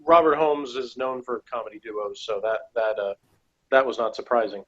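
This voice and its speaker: A man's voice: mid-range voice, breathy edge. Dull-sounding man in casual review chat. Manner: halting, repeats words while arguing a point. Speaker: podcast panel reviewer.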